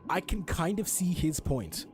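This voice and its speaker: A man's voice: fairly high pitch, big pitch swings, unhurried, faint music behind. A young man giving casual gameplay commentary in a chatty, slangy, sweary way. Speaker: game streamer.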